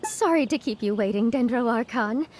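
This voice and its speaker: Woman acting a polite dutiful game attendant, high lively voice, faint noise. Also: moderate pace, close to an everyday voice. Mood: respectful. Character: deferential, dutiful attendant serving a revered deity.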